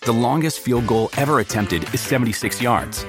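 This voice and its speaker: A man's voice: mid-range voice, expressive, moderate pace. A man reading a scripted public-service advert as a voice-over. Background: music.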